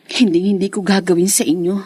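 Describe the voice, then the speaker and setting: Sweet young wife, dry studio sound. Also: female voice, fairly low pitch, flat delivery, fast, dark tone, slightly rough, no echo. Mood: delighted, affectionate. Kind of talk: radio drama acting.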